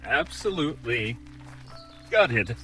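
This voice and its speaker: A weary robot warden from a fantasy adventure drama, voiced by a man. The delivery is dry and deadpan, drawn out very slowly, with pitch that swings up and down. Faint music sits underneath. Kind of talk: fantasy audio drama acting.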